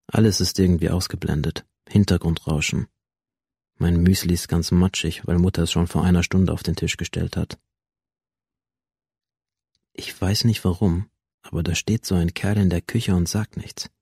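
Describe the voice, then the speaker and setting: An actor playing a cold, alienated teenage schoolboy who narrates a radio drama in a detached, brooding, deliberate way. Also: male voice, low voice, fairly even intonation, vocal fry, a little mellow, breathy edge, no room sound, studio quality, exaggerated voice. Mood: numb, detached. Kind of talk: first-person radio drama monologue.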